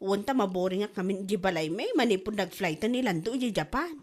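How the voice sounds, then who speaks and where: A woman's voice: mid-range voice, expressive, fairly quick, darkish tone, natural voice. A woman with an Ilocano accent playing a chatty, feisty young waitress in a comedy audio drama.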